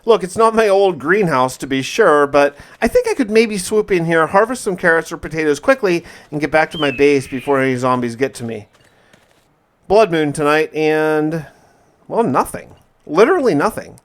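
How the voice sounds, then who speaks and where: A man with a slightly high voice narrates a video game as a survival diary. His delivery is theatrical and emphatic, and he stretches words out for drama. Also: animated, moderate pace. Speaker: gaming video host.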